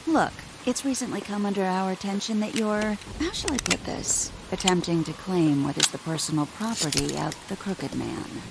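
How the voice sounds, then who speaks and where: A woman's voice: mid-range voice, moderate pace, creaky, few pauses, lightly acted. A woman voicing a cocky, sadistic villain in a video game, mockingly playful and condescending. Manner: taunting, condescending. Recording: loud rainy ambient noise.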